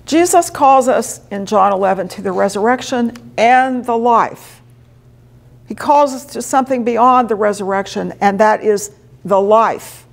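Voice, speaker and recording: A woman in her sixties, a pastor, preaching a sermon in slow, deliberate phrases with emphatic pauses, recorded in a small chapel. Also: medium pitch, gravelly. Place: small chapel in front of a stone wall.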